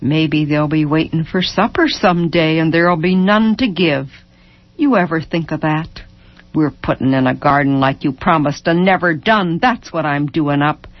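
A woman's voice: deep voice, moderate pace, dry recording, dull audio, close to an everyday voice. A woman voicing a worn-out, anguished mother in a literary story, bitter and defiant, with folksy speech and pointed questions.

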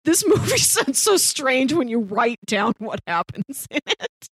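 A woman co-hosting a podcast, playfully pitching and chatting about films, chuckling as she talks. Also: very animated, a little slow, fairly bright tone, slightly rough, dry recording, soft background music. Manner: chuckles mid-sentence, playful. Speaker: podcast co-host. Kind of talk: casual film podcast chat, pitching films and reading blurbs.

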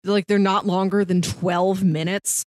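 Lady on a review podcast just chatting about recs, normal pitch, no room echo. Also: little pitch movement, measured pace, darkish tone, clean studio sound. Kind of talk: casual chat, giving recommendations.

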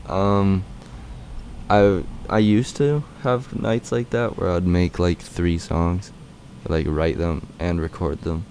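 A young man rapper answering interview questions in a raspy, deep voice, halting with many fillers. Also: fairly even intonation, a little mellow, slight background noise. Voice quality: raspy and deep right now.

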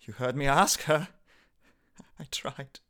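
An actor playing a desperate, unhinged husband in a horror radio drama, theatrical, shifting between mock politeness and frantic pleading. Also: male voice, mid-range voice, takes it slowly, sharp tone. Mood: distraught. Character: desperate, unhinged husband hiding his monstrous cat wife.

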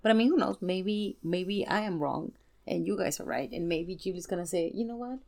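Female podcast guest, deep-pitched for a woman, informal conversational delivery. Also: brisk, vocal fry, a little mellow. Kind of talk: casual chat.

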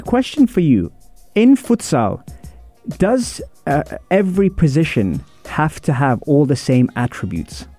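A man hosting a radio interview show in a studio, asking questions and giving frequent quick agreements. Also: on the high side, big pitch swings, dark tone, no echo, faint music behind. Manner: affirming, many quick agreements.

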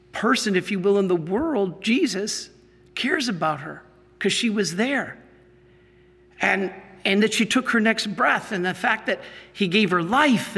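High, breathy priest in a reverberant hall. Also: male voice, a bit bright. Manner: slow storytelling, halting restarts, rising to emphatic teaching. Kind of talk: sermon with a personal story.

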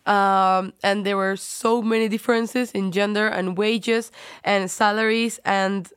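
A young woman with a Spanish accent explains things informally as a podcast guest. Her voice is smooth and clean, at a medium pitch. The recording is dry and close. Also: fairly even intonation, a little slow, dry recording. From Spain. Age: young adult. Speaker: guest, student.

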